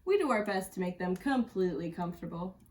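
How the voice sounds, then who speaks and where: A woman's voice: fairly low pitch, expressive, fast talker, darkish tone, roomy sound, close to an everyday voice. A woman voicing an officious, fussy male ship's officer from a storybook, recorded at a distance from the microphone. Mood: welcoming.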